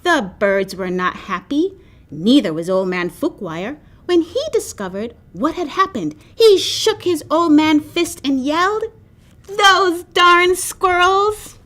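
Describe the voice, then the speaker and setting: A woman reading a children's picture book aloud with expressive, animated storytelling. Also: high voice, measured pace. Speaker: storybook reader.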